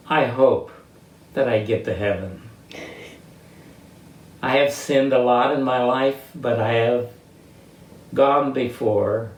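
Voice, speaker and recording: An elderly man with an American accent reminisces about his life in a halting, reflective way, often searching for words. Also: medium pitch. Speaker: interviewee sharing life stories. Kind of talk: reminiscing personal storytelling. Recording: roomy, distant mic with faint noise.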